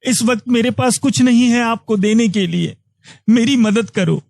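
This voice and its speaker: A man's voice: very high voice, measured pace, vocal fry, darkish tone, dry recording, studio quality, full character voice. A man voicing a poor, desperate villager in a cartoon moral story, begging humbly and deferentially. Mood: desperate pleading. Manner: pleading, deferential.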